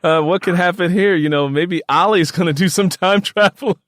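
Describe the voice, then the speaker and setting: A man's voice: high voice, a little fast, no room sound. Guy hosting a podcast interview, enthusiastic and chatty, repeats himself for emphasis, dry close sound. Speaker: podcast host interviewing an author. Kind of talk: casual interview.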